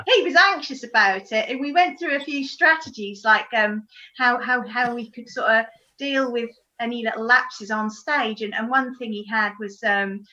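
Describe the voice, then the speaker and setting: Bright-voiced woman telling an anecdote. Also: a little high, normal speed, muffled recording. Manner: animated storytelling, acts out moments. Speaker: actor. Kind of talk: anecdote on a video call. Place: indoors, on a video call.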